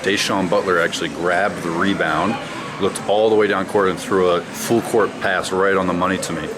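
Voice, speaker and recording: A man's voice: deep voice, fairly even intonation, normal speed, background noise. A man in his thirties who grew up in China, a former basketball player telling stories from his career in an interview. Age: 30s. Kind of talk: interview answers, storytelling. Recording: loud music underneath.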